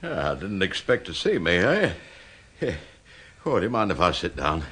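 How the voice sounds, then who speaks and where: An actor playing a proud, sardonic older office veteran with an English accent in a British radio drama, blunt and suspicious, given to muttered interjections. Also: male voice, low voice, very animated, normal speed, fairly bright tone, breathy edge, full character voice. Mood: grumbling, prying.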